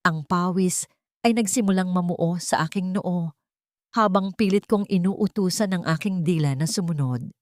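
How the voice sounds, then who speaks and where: A woman storyteller with a fairly low voice. She delivers dramatic first-person narration from a script, recorded close and dry. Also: moderate pace, a little mellow, dry recording.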